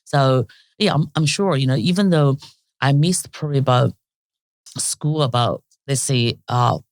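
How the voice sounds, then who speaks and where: A woman's voice: very deep voice, measured pace, no room sound. A middle-aged woman from China with a Chinese accent, telling her story in a casual interview with many restarts and fillers. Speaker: interview guest.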